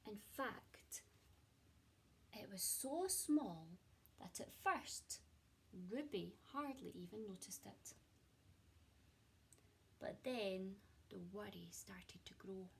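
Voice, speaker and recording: A woman reading a children's picture book aloud in an expressive storytelling way, as a teacher speaking to young listeners. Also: mid-range voice, slow pace, gravelly, pauses often, breathy edge.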